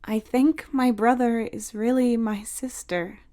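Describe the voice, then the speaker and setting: A woman voicing a supportive young sister in a storybook, soft-spoken, reassuring and a little teasing. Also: on the high side, steady tone, a little slow, pitched above the performer's own voice, close to an everyday voice. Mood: gentle, sincere. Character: supportive older sister, young, playful and tender. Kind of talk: dramatic audiobook character dialogue. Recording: music behind the voice.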